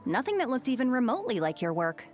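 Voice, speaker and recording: A breezy, confident shopkeeper in a fantasy game. Her voice is fairly high and moves in a lively way. There is faint music behind. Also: fast talker. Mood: breezy, assured. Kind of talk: video game voice acting.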